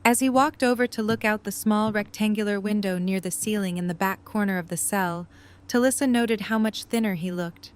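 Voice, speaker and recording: A woman's voice: medium pitch, fairly quick. A woman reading scripted story narration as the narrator of an audio drama.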